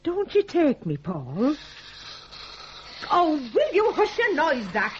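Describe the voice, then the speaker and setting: An actress playing a scheming middle-aged mother in a radio drama, brisk and nagging, with a Northern English accent. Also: sits high, wildly swooping pitch, slight background noise, exaggerated voice. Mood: scheming, bossy. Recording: dull 1980s radio broadcast recording.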